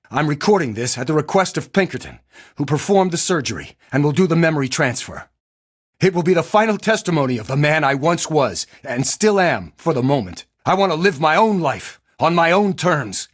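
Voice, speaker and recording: A man playing an earnest runaway android, solemn and defiant, in a video game audio log. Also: on the high side.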